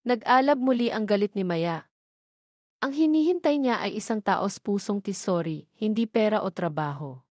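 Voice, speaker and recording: A woman's voice: medium pitch, lively intonation, moderate pace, a little mellow, dry recording, studio quality. A woman with a Filipino accent narrating a scripted dramatic story.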